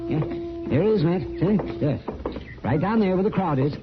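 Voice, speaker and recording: An actor playing a crusty, excitable old frontier man with folksy rustic phrasing, in an old-time radio drama. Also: high-pitched, moderate pace, very noisy. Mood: eager. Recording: dull old radio recording.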